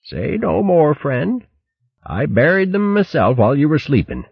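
A man's voice: a little high, big pitch swings, measured pace, vocal fry, slightly rough, pitched above the performer's own voice, full character voice. A man voicing a jolly, boastful male hare who blusters with old-fashioned exclamations. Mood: gentle. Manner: hearty, blustery, full of "what, what" bluster. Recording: muffled lo-fi recording.

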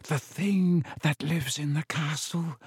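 Man putting on an ancient, menacing giant spider, slow and solemn. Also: on the high side, steady tone, darkish tone, breathy edge, dry recording, studio quality, voice pushed up, heavily acted. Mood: grave, fearful. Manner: slow, solemn, formal speech.

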